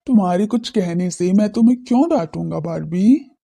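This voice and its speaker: A woman's voice: medium pitch, expressive, normal speed, vocal fry, dark tone, pitched below the performer's own voice. A woman voicing serious, brooding young men in a romance audio drama, with stern, restrained delivery. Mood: fond, reassuring. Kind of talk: audio drama character dialogue.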